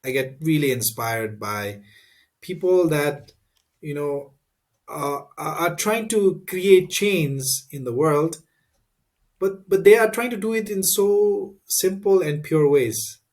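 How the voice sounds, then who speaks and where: Thoughtful man with halting speech. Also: a little high, expressive, darkish tone. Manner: thoughtful, halting with many fillers and restarts. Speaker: guest. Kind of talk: interview answers.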